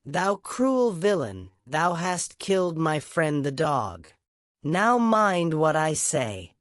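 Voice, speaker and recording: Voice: medium pitch, sing-song, slow, no echo, clean studio sound. A narrator voicing a vengeful little female sparrow in a storybook, stern and taunting in archaic speech. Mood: grave threat.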